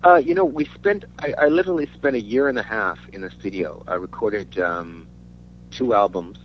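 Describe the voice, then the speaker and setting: A man from Denmark giving a casual interview as a musician, talking in a halting, rambling way. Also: lowish voice, very animated, vocal fry. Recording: dull lo-fi audio with faint hum.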